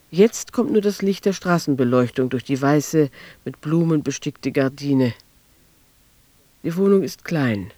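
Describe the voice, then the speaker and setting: A man's voice: a little high, darkish tone, no room sound, close to an everyday voice. An actor as a precise, matter-of-fact male narrator reading scripted radio drama narration. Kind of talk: scripted present-tense narration.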